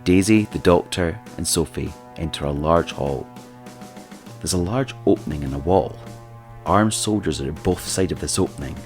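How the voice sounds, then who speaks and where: Very deep-voiced male narrator. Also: moderate pace, darkish tone, soft background music. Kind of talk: scripted narration of audio drama.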